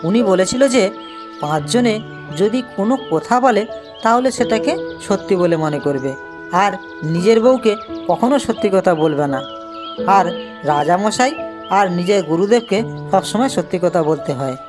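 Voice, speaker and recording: A woman's voice: mid-range voice, animated, measured pace, pauses often, over music, close to an everyday voice. A woman playing a simple village man in a cartoon, explaining in an earnest manner. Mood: earnest, pleading. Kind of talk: Bengali cartoon voice acting.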